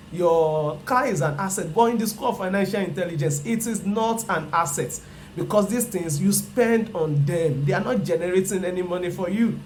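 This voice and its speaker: A speaker with a Nigerian accent and a Yoruba mother tongue teaches personal finance straight to camera. The voice sits at a middle pitch and restates each idea several times for emphasis. The speaker checks in with rhetorical questions, and a faint room ambience runs underneath. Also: darkish tone, slight background noise. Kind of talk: explanatory lecture to camera.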